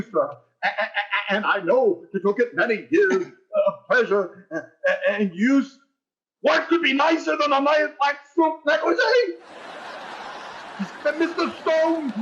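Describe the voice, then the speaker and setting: An actor playing a flustered, fawning school principal who stammers and blusters, in 1940s radio sitcom style. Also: male voice, extremely high pitch, fairly bright tone, lo-fi sound, exaggerated voice.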